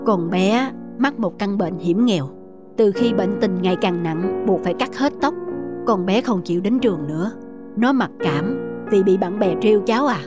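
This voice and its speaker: A man playing a middle-aged, gentle, worried father in a cartoon, speaking in a measured, downcast way. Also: sits high, loud music behind the voice, close to an everyday voice. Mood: worried, sorrowful. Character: worried, gentle father of a gravely ill daughter. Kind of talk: cartoon dubbing.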